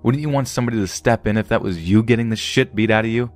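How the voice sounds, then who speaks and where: A man's voice: on the low side, fast, faint music behind, pitched above the performer's own voice, lightly acted. A man voicing an angry young man who stands up to bullies, urgent and heated.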